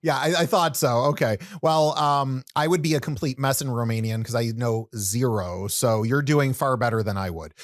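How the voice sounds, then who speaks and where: A man's voice: mid-range voice, no room sound, clean studio sound. A man hosting a podcast, asking long, rambling questions in a friendly way. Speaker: podcast host. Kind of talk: interview conversation.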